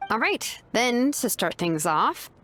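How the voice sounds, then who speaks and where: A woman's voice: a little high, sing-song, slow pace, background noise. A woman playing a laid-back, sincere young craftswoman in an anime game, speaking in a casual, frank way with slight hesitation. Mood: casual, awkward.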